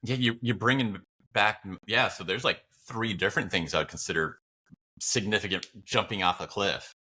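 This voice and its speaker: A man's voice: on the low side, moderate pace, bright, forceful tone, husky. A man talking casually as a podcast co-host, reflective and encouraging. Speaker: podcast co-host and video creator. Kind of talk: casual chat.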